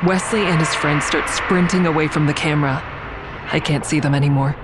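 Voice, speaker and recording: An actor playing an anxious narrator who recounts a tense ordeal in measured first person, in an audio drama. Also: medium pitch, steady tone, heavy background noise, natural voice.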